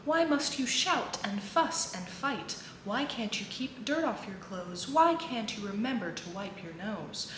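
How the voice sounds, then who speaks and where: A woman's voice: mid-range voice, animated, unhurried, few pauses, a bit bright. A woman reading the part of a crabby grown man from a children's poem, nagging and scolding. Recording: echoey room with clear background noise.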